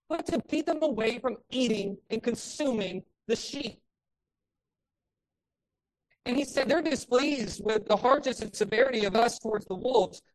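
Woman pastor, fairly high voice, loud and impassioned in the pulpit, hits emphatic peaks. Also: rough recording. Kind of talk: sermon.

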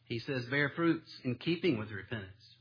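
Husky-voiced preacher with folksy sermon style. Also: male voice, mid-range voice, lively intonation, normal speed, muffled recording. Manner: folksy, self-deprecating preacher with homespun stories. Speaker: pastor. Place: church sanctuary.

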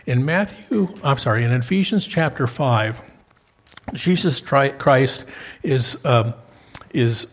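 Male pastor, mid-pitched, homespun and conversational, punches key words. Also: a little mellow, husky. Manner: folksy, joking asides, rising to emphatic peaks on key words. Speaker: preacher. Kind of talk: sermon.

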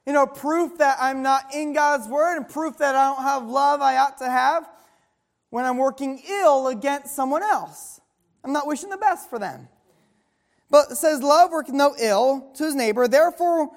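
Female preacher, high pitch, call-and-response sermon, slow scripture reading.

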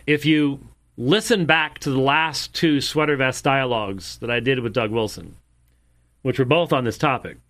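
A man's voice: medium pitch, moderate pace, a bit bright. A man hosting a show, commenting on video clips in an emphatic way and repeating his points for weight.

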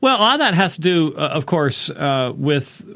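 A man's voice: on the high side, sing-song, normal speed, vocal fry, pushed and bright. A man hosting a religious call-in podcast, talking casually and unscripted in a digressive, wry way. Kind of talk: casual unscripted talk show monologue.

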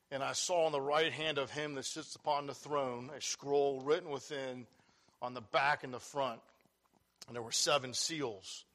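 A man preaching a sermon, reading scripture aloud and explaining it in an earnest, loud voice. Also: medium pitch. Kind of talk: sermon, Bible teaching.

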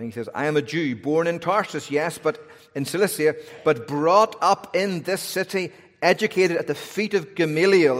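A man with a Northern Irish accent, preaching a sermon in a relaxed, conversational way with humorous asides. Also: fairly high pitch. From Northern Ireland. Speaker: pastor. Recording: long hall reverb.

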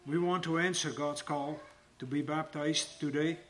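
Older man of about seventy with a fairly high voice, reading his testimony in church, steady and plain delivery, faint hiss underneath. He speaks to a congregation before his baptism. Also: normal speed. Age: around 70.

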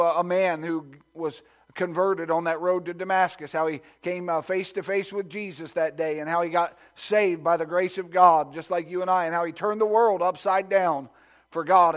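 A man pastor preaching a sermon in a church sanctuary, reading scripture and praying, at times hushed and at times rising with fervor. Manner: builds to loud, high-pitched preaching fervor.

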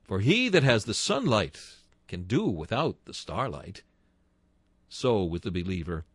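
A man preaching an old-style sermon, slow and declamatory, rising to ringing exclamations and sinking to hushed, reverent tones. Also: lowish voice, very animated, husky, dry recording. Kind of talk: Victorian-style sermon reading.

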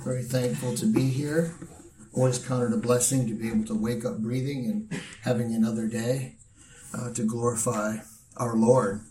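Hoarse, gravelly-voiced male pastor preaching. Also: mid-range voice, fairly even intonation, warm tone, a little hiss or hum. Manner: earnest, measured preaching with pauses. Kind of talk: sermon. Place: church sanctuary. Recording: roomy sound from a distant mic.

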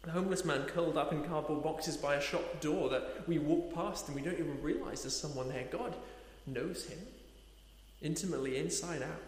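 Man preaching in an echoey hall. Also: on the high side. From Nepal. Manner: earnest, slowing for emphasis, rhetorical questions. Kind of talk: sermon.

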